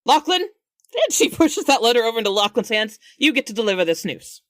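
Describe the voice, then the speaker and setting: A woman's voice: on the high side, sing-song, a little fast, a bit bright, dry recording, studio quality. A woman playing in a tabletop roleplaying podcast, switching between casual chat, character dialogue and read-aloud narration.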